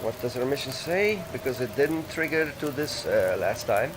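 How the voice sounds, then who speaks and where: A man's voice: mid-range voice, animated, normal speed, background noise. A man casually commenting on his game as he plays, thinking aloud and reading text from the screen. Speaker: let's play gamer.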